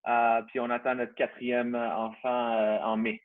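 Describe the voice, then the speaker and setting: A man's voice: medium pitch, little pitch movement, a little slow, noisy background. A man in his thirties with a Canadian French accent, a guest in a casual interview, talking hesitantly with many restarts. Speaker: guest, CrossFit gym owner. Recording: tinny phone-like line.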